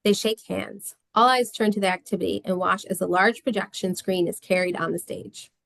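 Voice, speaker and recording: A woman's voice: mid-range voice, fairly even intonation, moderate pace, vocal fry, a crowd in the background. A woman reading scripted stage directions as narrator of a screenplay table read.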